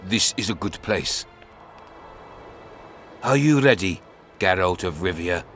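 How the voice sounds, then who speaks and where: An actor playing a cold, threatening Imperial sorcerer in a fantasy game, deliberate and menacing. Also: male voice, low voice, expressive, fairly bright tone, faint music behind, exaggerated voice. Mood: cold threat. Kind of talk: scripted fantasy game dialogue.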